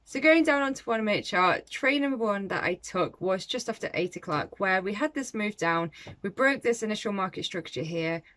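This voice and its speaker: A woman's voice: medium pitch, expressive, moderate pace, fairly bright tone. Chatty woman recapping her trades. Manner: chatty and reflective, with small laughs. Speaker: forex trading vlogger. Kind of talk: casual trade recap to camera.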